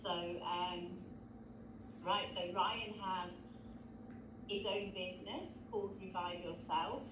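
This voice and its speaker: A woman hosts an online session, chatting along in a friendly, rambling way. She sounds set back from her mic. Her voice carries a roomy echo and steady background noise. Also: fairly low pitch, slow pace, long pauses. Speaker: host. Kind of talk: casual webinar hosting. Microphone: video call. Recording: distant, noisy, echoey call audio.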